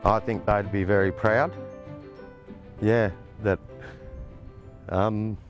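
A middle-aged man with an Australian accent giving a halting, unscripted interview answer on location. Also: lowish voice, steady tone, highs cut off. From Western Australia. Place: outdoors on open scrub plain. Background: faint music.

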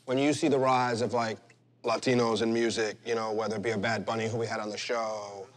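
Quick-talking male talk host. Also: lowish voice, flat delivery. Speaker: show host. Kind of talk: casual round-table interview.

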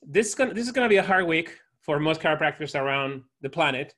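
A man in his thirties with a Spanish-speaking accent hosts an interview over a headset from an office. His voice sits a little high, and he restarts his phrases, repeating words as he works his way through a question. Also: expressive, fast talker, a bit bright. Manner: friendly, repeats words as he thinks. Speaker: host. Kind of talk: casual interview chat. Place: office with banner. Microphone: headset on video call.

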